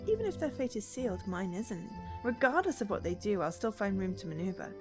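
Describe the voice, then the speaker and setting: A woman's voice: medium pitch, sing-song, a little fast, music in the background, lightly acted. A woman voicing an earnest, determined young woman in a storybook game, read as dramatic dialogue.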